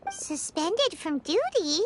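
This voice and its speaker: A woman voicing a small, childlike female fairy companion in a video game, chirpy and blunt with a squeaky voice. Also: very animated, slow pace, over music, heavily acted. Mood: surprised.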